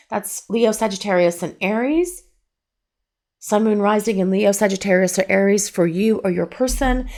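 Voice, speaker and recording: Calm middle-aged woman reading tarot. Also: mid-range voice, vocal fry. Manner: calm, measured, gently repetitive. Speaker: tarot reader. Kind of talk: pick-a-card tarot reading.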